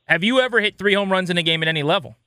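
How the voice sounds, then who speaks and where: A male sports radio host with a bright, forceful voice pitched a little high. He delivers upbeat talk and interview questions, recorded very dry with almost no room sound. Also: animated, very fast, no echo.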